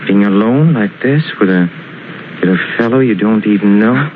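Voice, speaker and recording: An actor playing a brooding, menacing young killer in 1940s radio suspense style, speaking in halting, repeated phrases. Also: male voice, lowish voice, a little hiss or hum, close to an everyday voice. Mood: uneasy, evasive. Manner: halting, repetitive, broken phrases. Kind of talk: 1940s radio suspense acting, confessional monologue. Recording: muffled old radio recording with music.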